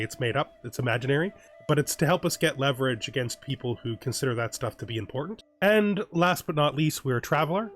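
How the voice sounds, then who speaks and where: Rambling man narrating a strategy game. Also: mid-range voice. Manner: rambling, thinking aloud with frequent restarts. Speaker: gaming YouTuber. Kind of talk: relaxed let's play commentary.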